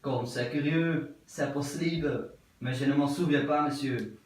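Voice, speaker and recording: A man playing a prim, politely puzzled middle-aged English wife in an absurd stage play, with formal, measured, repetitive speech. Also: on the high side, lightly acted. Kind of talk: theatre acting of an absurd play. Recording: very echoey room, voice from far off.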